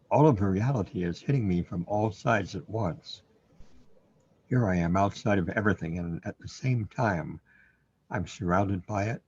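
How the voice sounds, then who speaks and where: An actor playing a troubled, bewildered man in a surreal sci-fi radio drama, hesitant and halting as he muses aloud. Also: sits low, darkish tone, slightly rough, dull audio, heavily acted. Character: troubled, bewildered man overwhelmed by cosmic reality.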